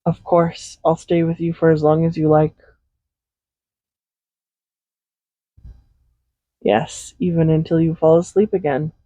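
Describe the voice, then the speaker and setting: A man's voice: fairly high pitch, level tone, normal speed, warm tone, dry recording, natural voice, rough recording. A man playing a tender, possessive villain in an intimate roleplay audio drama, speaking in a soothing, coaxing, reassuring way. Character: tender, possessive villain comforting a captive loved one. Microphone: close USB condenser microphone.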